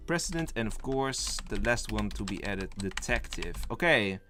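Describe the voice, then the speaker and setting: A man's voice: lowish voice, expressive, normal speed, music in the background. A young man, a native Dutch speaker, streaming live in casual chat, rambling and thinking aloud as he reads the chat and lists game roles.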